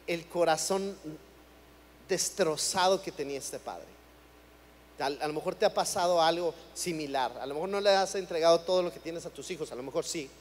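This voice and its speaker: A high-voiced young man from Chihuahua, Mexico, preaching a sermon in a conversational tone and often doubling words as he begins a thought. His voice carries a long reverb through a church hall. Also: a little mellow. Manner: conversational, repeats words when starting phrases.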